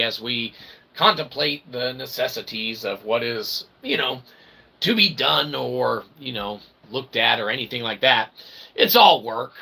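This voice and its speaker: Male vlog host, creaky voice, loose rambling delivery, mock-grand wording, noisy setup. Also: medium pitch, sharp tone. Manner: wordy mock-formal phrasing with constant "okay" tags; self-deprecating. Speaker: independent channel host and developer. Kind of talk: rambling casual monologue to viewers.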